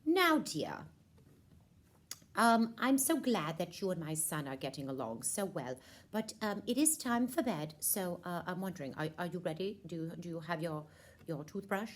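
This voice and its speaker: A woman plays a scatterbrained queen mother in a children's puppet show. She fusses regally and speaks with total self-assurance. Her pitch leaps about in a very animated way. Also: moderate pace, close to an everyday voice. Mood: fussily kind.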